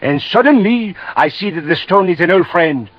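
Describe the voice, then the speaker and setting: An actor playing a fussy, self-important French gem expert with a French accent, speaking formally in a 1950s radio drama. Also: male voice, sits high, animated, normal speed, no room sound. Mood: dramatic. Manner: fussy, formal, insistent on telling it himself. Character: excitable, self-important French gem appraiser, a grown man. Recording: dull old radio recording.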